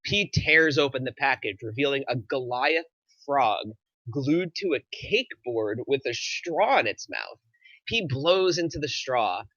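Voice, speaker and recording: A man's voice: mid-range voice, expressive, unhurried, sharp tone, no room sound. A man reading scripted stage directions as the narrator of a screenplay table read. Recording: slightly dull, dry recording.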